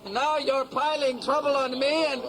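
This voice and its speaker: An actor playing a bold but troubled young prince in a 1970s radio drama. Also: male voice, extremely high pitch, fairly even intonation, normal speed, low-quality recording. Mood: pleading, aggrieved. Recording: crowd noise behind, cassette-era radio play.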